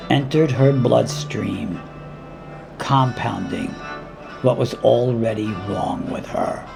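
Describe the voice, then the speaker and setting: A man reading his own dramatic monologue in a deliberate, intense spoken-word style, with weighty pauses and repeated words. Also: medium pitch, creaky.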